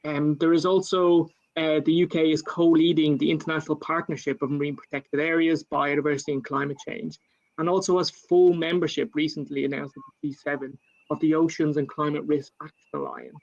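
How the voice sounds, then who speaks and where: An Irish man speaks on a panel in a fairly high, flat voice that barely rises or falls. He talks in a measured way, pausing on fillers and restarting phrases. The sound comes over a video call and is dull and lo-fi. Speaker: panel speaker for a national government. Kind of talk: panel discussion answer.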